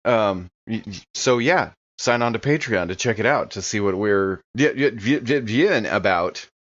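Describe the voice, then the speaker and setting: A man chatting casually as a player on a gaming podcast, halting and stumbling a little over words. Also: lowish voice, animated, a bit bright. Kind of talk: casual chat. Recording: dull, noisy lo-fi mic.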